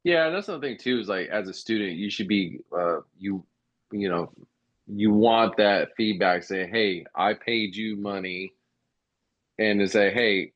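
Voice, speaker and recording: Low-voiced man on a dull line. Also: a little slow, no echo. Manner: agreeable, chimes in with short remarks. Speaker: podcast guest. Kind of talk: casual podcast conversation.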